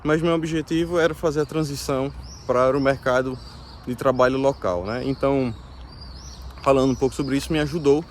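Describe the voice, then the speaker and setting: A man from Brazil in his thirties talks with a Brazilian Portuguese accent, in a mid-pitched voice. He speaks to his viewers in a relaxed, hesitant way, often stopping and restarting. Faint street and car noise sits behind him outdoors. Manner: hesitant, many fillers and restarts. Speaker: vlogger. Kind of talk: casual explanatory talk to viewers.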